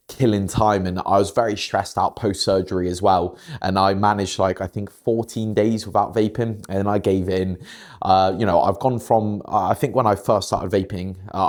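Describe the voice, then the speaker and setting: A man's voice: on the low side, darkish tone. A young man from Poland with a British English accent, chatting casually through a home kitchen vlog in a rambling, self-deprecating way. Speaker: fitness vlogger.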